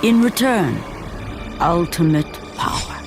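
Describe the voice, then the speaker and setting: Solemn mythic storyteller, slightly high-pitched. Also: male voice, lively intonation, over music, heavily acted. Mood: solemn, ominous. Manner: portentous, measured, theatrical. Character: solemn storyteller of ancient Greek myth. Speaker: narrator. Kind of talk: dramatic scripted mythic narration for a video game.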